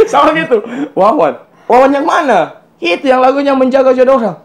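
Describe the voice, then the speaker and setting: A man with a Kendari, Southeast Sulawesi Indonesian accent, hosting a casual interview in a chatty, teasing way and repeating phrases for emphasis. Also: very high voice. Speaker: YouTube interviewer and host. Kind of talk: casual, joking interview chat.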